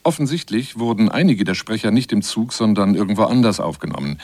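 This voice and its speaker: A man's voice: on the low side, lively intonation, brisk, darkish tone, dry recording. A man reading scripted narration for a German radio feature as its presenter.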